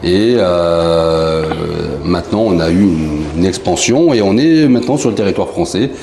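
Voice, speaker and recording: A very deep-voiced man from France talks through his story in a chatty, joking way, laughing at himself and dropping plenty of fillers. The room sounds roomy, with a faint hum of background noise. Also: animated, moderate pace, warm tone. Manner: chatty and jokey, self-mocking, many fillers. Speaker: founder of a poker company, interview guest. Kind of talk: casual interview answers.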